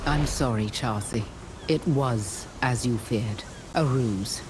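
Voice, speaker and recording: Low-voiced, resolute woman adventurer. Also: slow pace, noisy background. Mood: grave, regretful. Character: resolute female adventurer, grave and protective. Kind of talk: video game voice acting.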